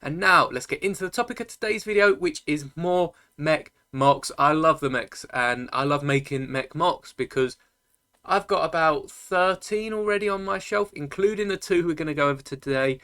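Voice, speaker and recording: Man, slightly high voice, chatty video host, rambling explainer, enthusiast showcase style, dry close sound. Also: moderate pace, sharp tone, no echo. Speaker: YouTube host of a toy-building channel.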